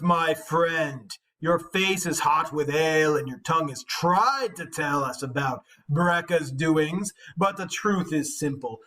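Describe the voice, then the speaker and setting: A man voicing a proud, boastful hero warrior in an epic poem, declaiming with measured emphasis. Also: on the high side, sharp tone, distant mic, voice pushed up, rough recording. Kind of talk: dramatic epic poem read-aloud.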